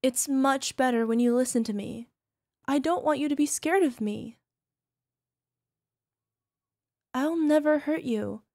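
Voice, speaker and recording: A woman voicing a possessive young yandere wife who nurses her sick husband, sweetly coaxing with a quiet edge of menace. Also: high voice, normal speed, no echo, clean studio sound. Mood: menacing. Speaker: voice actress. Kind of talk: ASMR roleplay audio drama.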